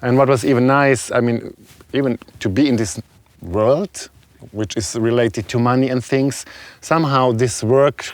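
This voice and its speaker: Guy with a european accent, non-native english, thoughtful halting interview chat, mid pitch, really dry sound. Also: fairly even intonation, no room sound. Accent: Continental European. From Serbia, moved to Germany as a child. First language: not English. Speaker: guest, shaman and spiritual healer. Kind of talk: conversational interview talk.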